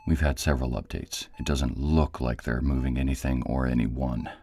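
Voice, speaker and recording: A man playing a steady, authoritative director in an audio roleplay, measured and businesslike with dry care. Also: very deep voice. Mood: businesslike, urgent. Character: steady, authoritative male director planning a raid, dryly caring. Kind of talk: audio roleplay drama acting.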